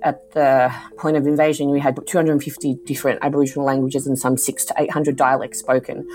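A woman, an academic philosopher, giving thoughtful and articulate interview answers at an unhurried pace. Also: a bass voice, flat delivery, a little mellow, faint music behind.

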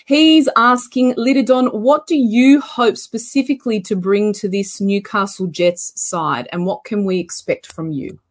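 A woman's voice: mid-range voice, a little slow, few pauses. A woman hosting a broadcast interview, reading fan questions in an upbeat, playful manner. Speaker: interview host.

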